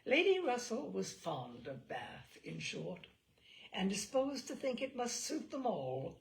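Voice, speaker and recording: A woman reading a classic novel aloud as a storyteller, expressive and measured, with emphatic phrases and hushed endings. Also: fairly high pitch, gravelly. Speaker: audiobook reader and storyteller.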